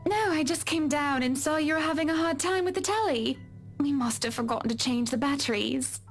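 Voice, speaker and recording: Visual novel role: solicitous, well-off wife, high-pitched, motherly and chatty. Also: fairly quick, soft background music. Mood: sweet concern. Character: genteel, solicitous wealthy wife and client. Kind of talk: visual novel game voice acting.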